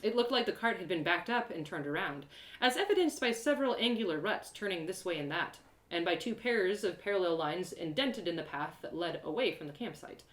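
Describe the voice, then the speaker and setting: A woman playing an excitable young heroine who tells her own woodland adventure in a theatrical, self-deprecating audio drama style. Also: on the low side, reverberant, natural voice. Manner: theatrical, breathless exclamations, gasps, self-deprecating humour. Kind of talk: one-woman audio drama storytelling, first person.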